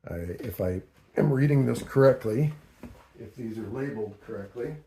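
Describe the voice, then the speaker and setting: A man narrating a casual hands-on tutorial, thinking aloud in a deliberate, halting way as he works through figures. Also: medium pitch, expressive, dark tone, a little hiss or hum. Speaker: host of a DIY audio tutorial.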